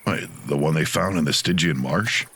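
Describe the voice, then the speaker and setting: A man plays a friendly demon hunter in an audio drama. His voice is deep, and he talks in a casual, conversational way as a concerned buddy. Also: moderate pace, fairly bright tone, breathy edge, heavily acted. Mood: alarmed.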